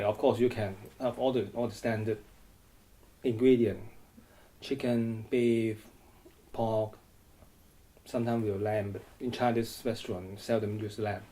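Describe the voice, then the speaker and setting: A man's voice: mid-range voice, mellow, poor audio. A middle-aged man from Hong Kong, answering interview questions hesitantly, with many restarts and repeated words. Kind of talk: oral history interview.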